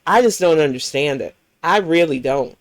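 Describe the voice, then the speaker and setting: A woman's voice: low-pitched, expressive, moderate pace, no echo. An American woman hosting a livestream, reacting to clips in a blunt, profane, heated rant. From United States. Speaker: livestream host.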